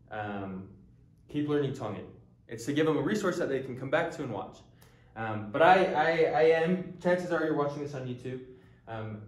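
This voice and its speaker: A man's voice: mid-range voice, darkish tone, reverberant. A young man in his early twenties from the United States, giving an earnest, casual introduction to a language course with frequent ums and restarts. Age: early 20s. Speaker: language teacher. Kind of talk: casual talking-head introduction.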